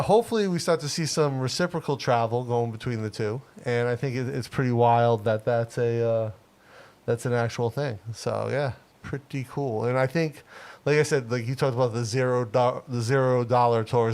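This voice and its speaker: This is a man in his forties co-hosting a livestream, talking in an easy, rambling way. He leans on fillers and laughs readily, and his pitch sits at a plain, everyday middle. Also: normal speed. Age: 40s. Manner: relaxed, filler-heavy, laughs often. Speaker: livestream co-host. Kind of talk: casual livestream chat.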